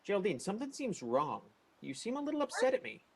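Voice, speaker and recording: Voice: mid-range voice, wildly swooping pitch, quick pace, vocal fry, slightly rough, exaggerated voice. An actor playing a hesitant, apologetic man eloping with his sweetheart, stammering and flustered in an improvised radio play. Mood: worried.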